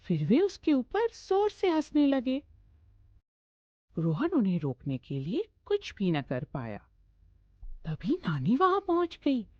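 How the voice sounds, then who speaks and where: A woman's voice: high voice, wildly swooping pitch, takes it slowly, pauses often, no echo, muffled recording. A woman narrating a children's cartoon story.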